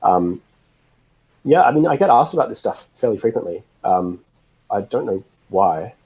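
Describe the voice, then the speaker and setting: Low, husky man in dull audio. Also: lively intonation, normal speed. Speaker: interview guest, software systems architect. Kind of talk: casual conversational interview.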